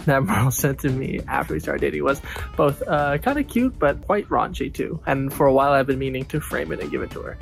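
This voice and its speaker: A young man from Thailand chatting casually to camera as an online video host, joking and quick to get excited. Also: mid-range voice, vocal fry, faint music behind. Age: young adult. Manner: relaxed, joking, easily excited.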